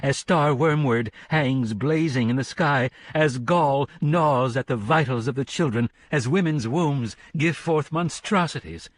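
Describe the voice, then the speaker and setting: A man's voice: medium pitch, unhurried, few pauses, no echo, pitched above the performer's own voice, lightly acted. A man reading an audiobook, voicing a commanding female preacher who thunders out a hellfire sermon with rising cadences. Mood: fervent. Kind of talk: audiobook character voice.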